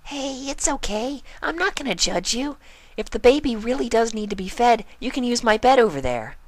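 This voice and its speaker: A woman voicing a bubbly, excitable young female pony with gushing, emphatic, childlike talk in a story reading. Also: fairly high pitch, moderate pace, no room sound, pitched above the performer's own voice. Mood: friendly, reassuring.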